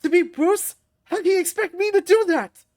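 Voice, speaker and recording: A woman voicing an exhausted young male fighter who shouts and swears in anger. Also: very high voice, normal speed, voice pushed up. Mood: raging.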